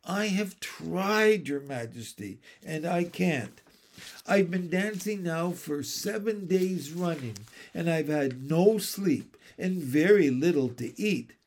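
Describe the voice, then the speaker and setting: A man's voice: fairly high pitch, animated, takes it slowly, darkish tone, pitched above the performer's own voice, exaggerated voice. A man voicing a plaintive, polite female cow from a storybook, speaking simply and deferentially. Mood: piteous, weary.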